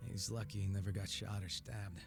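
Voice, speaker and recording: A man's voice: lowish voice, level tone, fairly quick, gravelly. A man playing a laid-back, sardonic former gang boss in a video game cutscene, talking casually with teasing and profanity. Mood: jaded, candid. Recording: music under the dialogue.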